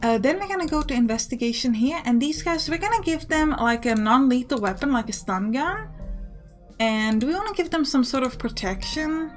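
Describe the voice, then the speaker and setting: A woman with a fairly high voice hosts a let's play, talking casually through what she is doing in the game. She explains things as she goes, with music playing behind her. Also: animated, a little fast.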